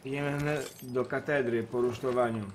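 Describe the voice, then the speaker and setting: A man's voice: medium pitch, steady tone, normal speed, slight background noise. A man doing casual gameplay commentary as a streamer, muttering to himself and swearing freely. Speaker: game streamer.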